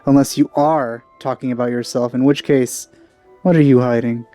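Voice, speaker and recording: A man's voice: medium pitch, steady tone, moderate pace, warm tone, soft background music. A man hosting a let's play, commenting casually in a dry, musing way.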